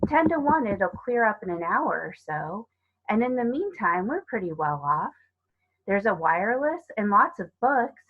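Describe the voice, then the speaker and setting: A woman's voice: mid-range voice, big pitch swings, measured pace, phone-quality sound. A woman voicing a sensible, motherly older-sister schoolgirl in a storybook, prim and chiding. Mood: chiding, practical.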